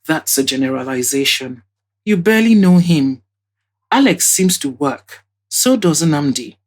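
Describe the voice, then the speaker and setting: A woman voicing a composed, polite young woman in an audio drama, with an earnest, measured manner. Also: low-pitched, lively intonation. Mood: reflective.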